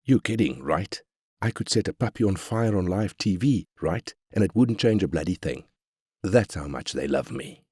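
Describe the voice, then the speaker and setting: A man's voice: low-pitched, animated, moderate pace, a little mellow, breathy edge, no echo, clean studio sound. A man playing a ruthless, arrogant corporate tycoon, brash and cynical, in scripted video game lines. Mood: brash, mocking. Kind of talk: scripted game character quotes.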